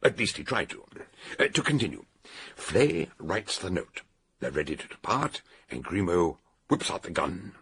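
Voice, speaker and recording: An actor playing an eccentric older British detective in a radio drama, theatrically and deliberately laying out a murder solution in a gravelly, husky voice. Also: male voice, low voice, sing-song, a bit bright, full character voice. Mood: animated, authoritative.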